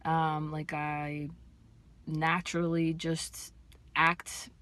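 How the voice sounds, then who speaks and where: A young transgender man before hormone therapy, with a fairly high voice, chatting excitedly to his viewers in a casual vlog. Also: background noise. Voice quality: higher-pitched voice. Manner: excited, fast-talking, chatty with many fillers. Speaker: vlogger.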